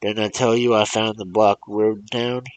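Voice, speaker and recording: A man voicing an angry old country man who yells accusations in rough rural grammar. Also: low-pitched, expressive, moderate pace, no room sound, dull audio. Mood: angry accusing.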